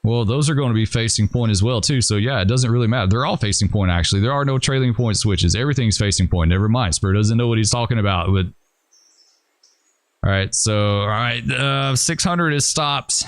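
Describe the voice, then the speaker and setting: A man's voice: lowish voice, fairly quick, no room sound. Man thinking aloud over a train game. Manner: thinking aloud, rambling and hesitant. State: losing his voice. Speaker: gaming channel host. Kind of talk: casual gameplay commentary.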